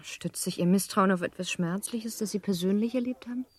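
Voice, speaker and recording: An actress playing an earnest, anxious, well-bred young wife in a German radio play, polite and restrained in manner. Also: female voice, medium pitch, brisk, slightly rough, natural voice. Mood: polite, doubtful. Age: young adult.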